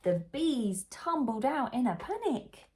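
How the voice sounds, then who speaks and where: Female storybook reader for young children, lively swooping pitch, slow pace, soft-edged mellow tone. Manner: animated, unhurried, asks the listener questions. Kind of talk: expressive storybook read-aloud for young children.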